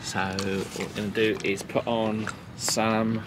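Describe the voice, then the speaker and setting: A man's voice: fairly low pitch, level tone, slow, noisy background. A man chatting casually and playfully as he narrates a vlog outdoors. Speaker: vlog host. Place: outdoors by a river and boat. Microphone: handheld camera, operator behind it.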